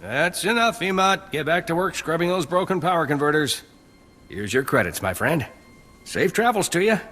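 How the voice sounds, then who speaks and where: An actor playing a glib, chatty and self-interested salvage trader in a video game. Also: male voice, on the high side, sing-song, normal speed, sharp tone, a little hiss or hum. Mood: pleased.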